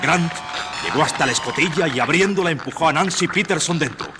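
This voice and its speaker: A man's voice: on the high side, lively intonation, brisk. Male suspense narrator, noisy recording. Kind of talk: dramatic scripted narration for suspense radio theatre.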